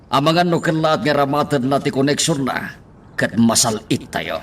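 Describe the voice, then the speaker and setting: A man's voice: medium pitch, expressive, normal speed, close to an everyday voice. An actor playing a commanding, wary male boss in an Ilocano radio drama. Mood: wary, calculating. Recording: faint background noise.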